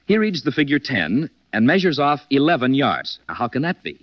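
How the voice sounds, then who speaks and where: A confident gentleman detective, addressing a radio mystery audience. His mid-pitched voice is polished and animated, with a dry, dull vintage sound. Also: dry recording. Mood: confident deduction. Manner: polished, deliberate. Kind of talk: 1940s radio acting.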